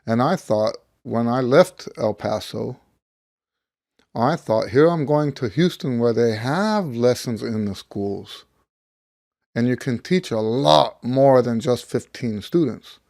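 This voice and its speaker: A middle-aged American man, slightly hoarse as he gets over losing his voice, talking casually and ramblingly like a teacher sharing experience. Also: medium pitch, animated, slow pace, a little mellow, no room sound, studio quality. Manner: rambling, conversational, thinking aloud. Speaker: trumpet teacher. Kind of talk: casual talk to camera, personal anecdote.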